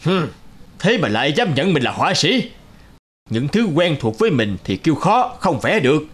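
An actor playing a pompous, greedy middle-aged mandarin in a cartoon folk tale, haughty and self-satisfied. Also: male voice, on the high side, big pitch swings, normal speed, slightly rough. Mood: scornful.

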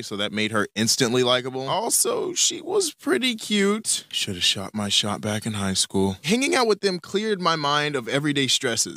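A man's voice: mid-range voice, sharp tone, no echo, clean studio sound. A young adult American man narrating a casual, scripted storytime in a slangy, self-deprecating, joking manner. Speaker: storyteller, YouTuber.

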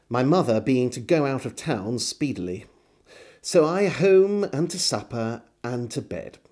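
A man in his forties reads literary prose aloud at an unhurried pace. His voice is mellow, and the pitch moves freely as he gives each phrase theatrical weight and deliberate pauses. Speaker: storyteller reading aloud. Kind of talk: expressive literary diary reading. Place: small home room with bookshelves.

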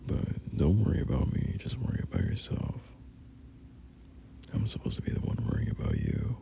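A man playing a gentle, doting boyfriend in an ASMR roleplay, half-whispering soothing, hesitant reassurance in a breathy murmur. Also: sits low, wildly swooping pitch, moderate pace, slight background noise, exaggerated voice. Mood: affectionate, tender. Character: gentle, doting boyfriend comforting an unwell partner.

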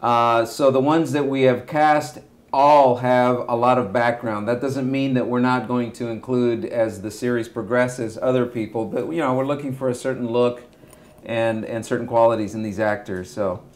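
A man in his forties, raised in Argentina with Spanish as his first language, talks calmly and casually at a medium pitch. He speaks slowly and thoughtfully, often pausing with "uh" as he picks his words. He was recorded in a small room indoors by a camera across the table. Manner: relaxed, measured, frequent hesitations. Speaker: director, interview guest. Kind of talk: casual interview talk.

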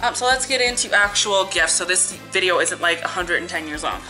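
A woman's voice: lowish voice, expressive, fairly quick, music in the background, low-quality recording. A young woman with a cold, chatting casually to camera in her living room in a sniffly, upbeat way, with lots of likes and ums. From Canada. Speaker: beauty and family vlogger. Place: living room in front of a lit Christmas tree, indoors. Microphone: camera close in front.

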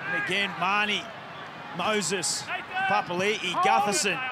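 A man's voice: high voice, sing-song, measured pace, a loud crowd. A man giving expert analysis of replays during a live rugby league broadcast. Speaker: expert match commentator. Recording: loud stadium noise.

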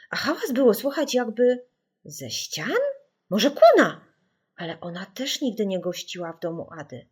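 A woman narrating a children's audiobook in a suspenseful, theatrical way with deliberate dramatic emphasis. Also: medium pitch, a bit bright.

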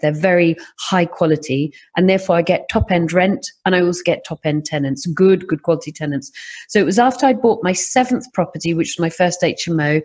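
Brief: middle-aged woman, English accent, husky and lowish, lively and animated podcast guest. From Scotland. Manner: lively, animated storytelling with laughs. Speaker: property investor guest. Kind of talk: podcast interview.

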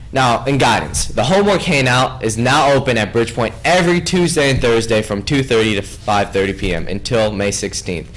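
A man's voice: mid-range voice, a little hiss or hum, low-quality recording. A young man anchoring a school announcements broadcast from a small studio desk, playful and jokey. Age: young adult. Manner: playful, jokey, animated with big hand gestures. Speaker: student news anchor.

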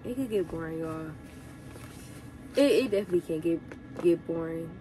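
A young woman truck driver giving casual advice to her viewers, sounding congested from a cold, chatty and relaxed. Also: low voice, normal speed, slight background noise, low-quality recording.

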